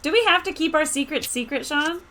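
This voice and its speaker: A woman's voice: sits high, brisk, sharp tone, a little hiss or hum. A woman playing a tabletop roleplaying game, talking casually with the group.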